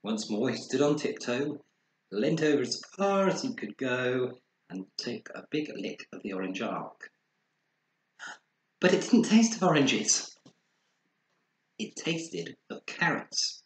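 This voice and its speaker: A man narrating a children's story with expressive, dramatized delivery, recorded in an echoey room. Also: medium pitch, slow pace, long pauses. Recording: echoey room with faint noise.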